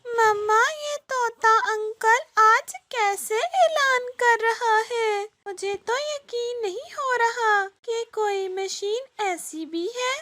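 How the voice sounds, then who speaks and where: A curious little boy bird in a children's cartoon, voiced by a woman. He sounds very high and childlike, eager and wondering, with slow and simple delivery. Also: pushed and bright, heavily acted. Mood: amazed.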